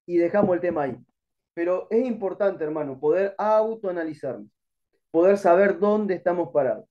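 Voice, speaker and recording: A man's voice: high voice, expressive, moderate pace, lo-fi sound. A middle-aged man with an Argentine accent teaching an online Bible class, earnest and exhorting, calling listeners brother. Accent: Argentine Spanish.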